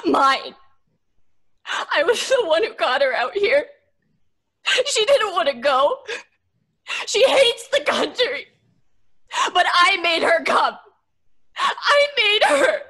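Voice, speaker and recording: A frightened, grief-stricken young woman for a radio horror drama. The voice is high and slow, swinging widely in pitch, melodramatic and imploring. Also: big pitch swings, bright, forceful tone, full character voice. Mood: sobbing grief. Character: distraught grieving young woman, fragile, frightened, protective. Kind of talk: old-time radio horror acting. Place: home recording, live remote video call.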